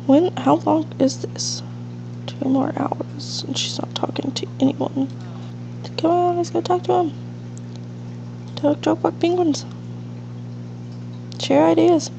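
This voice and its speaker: A woman's voice: very high-pitched, fairly even intonation, slow, gravelly, long pauses. A woman with a nasal, stuffed-up allergy voice giving casual, rambling gameplay commentary as the host of a let's play. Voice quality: nasal, congested, sniffly. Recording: dull lo-fi mic with faint hiss and hum.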